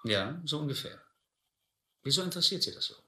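An actor playing a guarded rogue sea captain in a radio drama, wary and inclined to bluster. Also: male voice, fairly high pitch, lively intonation, brisk, bright, forceful tone. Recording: roomy old radio-play recording.

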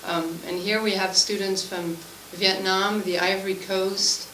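A woman in her forties from Switzerland, whose first language is French, speaks informally as a teacher introducing herself. Her medium-pitched voice comes from some distance in an echoey meeting room. There is clear noise behind her. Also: flat delivery, unhurried, a bit bright. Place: school room at a committee meeting, indoors.

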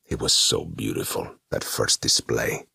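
A man voicing a sinister male demon who feeds on despair, speaking slowly and scornfully in a whispery voice. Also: a bass voice, creaky, sharp tone, breathy edge, dry recording, voice pushed down, heavily acted. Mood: wistful. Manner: slow, cold and scornful.

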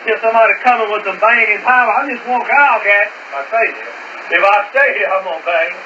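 A man's voice: sits high, fairly quick, pushed and bright. A man preaching a fiery Pentecostal holiness sermon, screaming his exhortations and dropping suddenly into plainer talk, heard over shortwave radio. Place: heard from a shortwave receiver's speaker. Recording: noisy, muffled shortwave radio broadcast, distant.

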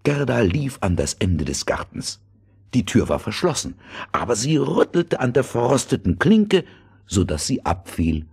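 A man's voice: mid-range voice, very animated, unhurried, gravelly, a little mellow, slightly rough. A man narrating a fairy tale in a scripted, storytelling style.

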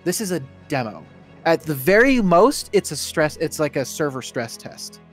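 A man's voice: a little high, big pitch swings, normal speed, faint music behind. A man chatting casually as he co-commentates on a video game stream.

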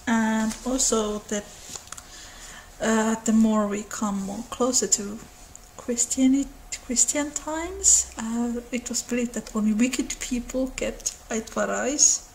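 A woman's voice: fairly high pitch, slight background noise, rough recording. A woman in her thirties with a Finnish accent, hosting her own mythology channel and explaining folklore to camera in a casual, thoughtful way. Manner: slow, thoughtful, with soft laughs and small restarts. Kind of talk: casual educational talk to camera. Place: living room by a window.

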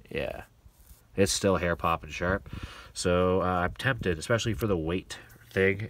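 A very low-voiced man talks through his gear in a loose, rambling way, often trailing off with "uh." He sounds set back from the mic, and a clear hum of outdoor noise sits behind him. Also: a bit bright, recorded from a distance. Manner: rambling, enthusiastic, frequent fillers. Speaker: gear reviewer. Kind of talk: casual show-and-tell. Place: outdoor wooden deck. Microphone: overhead camera on hands, outdoors.

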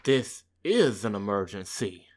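A woman's voice: a bass voice, very animated, measured pace, voice pushed down, full character voice. A woman doing a sly, sneering fox villain. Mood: smooth fake officer. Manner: sneering, scheming, gloating. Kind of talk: storybook reading.

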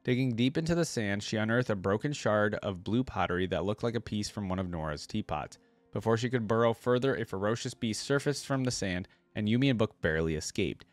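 A man's voice: fairly low pitch, animated, a little fast, no room sound, faint music behind. A young man hosting a reaction video, reading a story aloud and adding casual commentary, close on a desk microphone. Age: young adult. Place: indoor room at a streaming setup.

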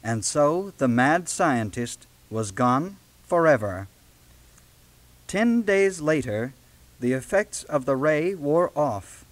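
Slow, expressive male read-along narrator. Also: on the high side, no room sound. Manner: measured, deliberate storytelling with dramatic emphasis. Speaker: audiobook narrator. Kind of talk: scripted read-along narration for a children's book. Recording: late-1970s read-along audio cassette.

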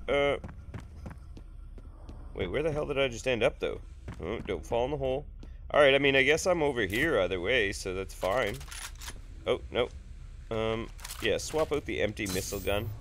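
A man's voice: mid-range voice, expressive, normal speed, long pauses, a bit bright, slight background noise. A man in his thirties with a sick, nasal voice from blocked sinuses, rambling and joking through casual game commentary at a home desk. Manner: rambling, jokey, swears freely. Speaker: gaming YouTuber. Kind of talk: casual let's play commentary.

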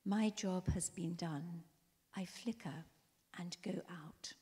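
A woman's voice: lowish voice, takes it slowly, mellow, a little hiss or hum. A middle-aged woman reading a poem on a concert stage into a handheld mic, slipping into whispers at the ends of lines. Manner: drops to a whisper at line ends. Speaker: poetry reader. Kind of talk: slow, hushed dramatic poetry reading. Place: wood-panelled concert hall stage. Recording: echoey concert hall.

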